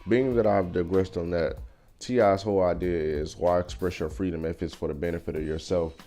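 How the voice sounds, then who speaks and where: A young man talking through his opinions in a casual vlog, thoughtful and measured, with frequent fillers. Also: sits low, a little mellow. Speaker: vlogger. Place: car.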